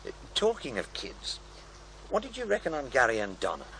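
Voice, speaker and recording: Sceptical male interviewer, lively intonation. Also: medium pitch, fairly quick, a little hiss or hum, full character voice, rough recording. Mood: pressing, doubtful. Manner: questioning, dry, blunt. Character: sceptical male programme-maker, probing interviewer. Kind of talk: naturalistic radio drama acting.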